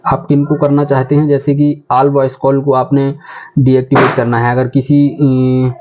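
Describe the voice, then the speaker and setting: A man's voice: medium pitch, completely flat delivery, a little slow, darkish tone, faint music behind. A man giving a step-by-step tutorial in an explanatory way, repeating his instructions. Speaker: tech tutorial presenter. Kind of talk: step-by-step phone settings tutorial. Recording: muffled phone-like audio, background chatter.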